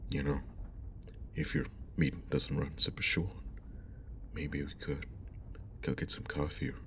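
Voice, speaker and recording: A man playing a friendly, caring young old school friend in an ASMR roleplay, speaking softly and hesitantly with a breathy half-whisper, close to the mic. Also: a bass voice, measured pace, a little hiss or hum, exaggerated voice. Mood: shy, hopeful. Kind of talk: ASMR roleplay, intimate one-sided conversation.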